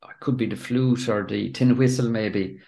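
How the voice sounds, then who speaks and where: A man's voice: lowish voice, steady tone, moderate pace, creaky, mellow. A man hosting a podcast interview, asking easygoing questions with a few hesitations. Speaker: podcast host. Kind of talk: casual interview.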